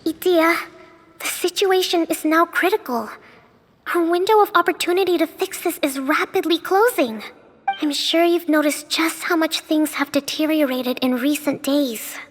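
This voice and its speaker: A self-assured young general in a game, her voice very high yet firm and earnest as she urges others on. Soft game music plays under her lines. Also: moderate pace, long reverb tail, a little hiss or hum. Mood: urging. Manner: earnest, persuasive speeches. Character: confident young woman general, rousing and bold. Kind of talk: video game cutscene dialogue.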